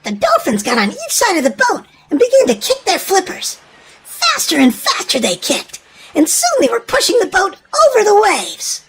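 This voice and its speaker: Female children's story narrator, very high pitch, hugely expressive and dramatic, faint noise behind. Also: moderate pace.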